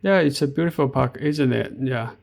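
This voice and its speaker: A middle-aged man from China with a Chinese accent, narrating a walking tour of an outdoor park in slow, halting speech full of fillers. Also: mid-range voice, gravelly, darkish tone. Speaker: vlogger.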